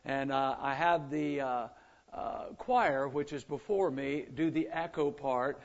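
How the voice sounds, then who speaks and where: An older man with a gravelly, rough voice, a visiting gospel singer at a church service, chatting folksily between songs. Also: a little high, fairly even intonation, slow. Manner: folksy, self-deprecating patter between songs. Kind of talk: church singing and chat. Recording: muffled, lo-fi with instrumental backing.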